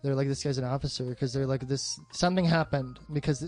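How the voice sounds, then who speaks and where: A man's voice: medium pitch, fairly even intonation, fast talker, a little mellow, soft background music. A man chatting casually as a podcast co-host, retelling a plot in colloquial, sweary language. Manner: profane, colloquial.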